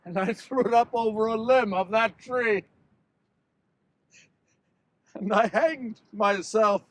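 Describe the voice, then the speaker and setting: A man performs a damned, remorseful male betrayer in a biblical stage monologue. His voice is strikingly high for a man. He speaks with theatrical intensity and weighted pauses, tormented by his own guilt. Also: no room sound, full character voice. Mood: grave, bitter. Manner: impassioned, dramatic pauses, swings from hushed to shouting. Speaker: actor performing a dramatic monologue.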